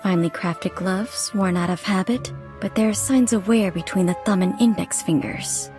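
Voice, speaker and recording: A poised female investigator played by a woman in a story-driven game. She speaks in a measured, polite and analytical way, at a medium pitch, with music clearly playing behind her. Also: natural voice. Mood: reflective, analytical. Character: poised observant female reporter and investigator in disguise. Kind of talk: scripted game narration and dialogue.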